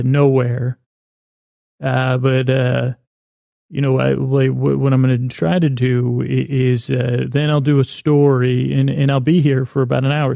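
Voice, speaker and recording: A man with a gravelly, creaky voice and a soft, mellow tone hosts a bedtime podcast in a close, dry sound. He meanders whimsically from thought to thought, stopping, doubling back and repeating words. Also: medium pitch, no echo. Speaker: podcast host. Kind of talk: rambling, whimsical bedtime monologue.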